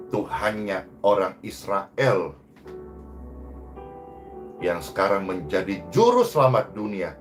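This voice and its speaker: Animated male preacher, music behind. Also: on the low side. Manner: emphatic, exhorting, swings between loud rises and hushed phrase endings. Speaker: pastor, preacher. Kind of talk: sermon.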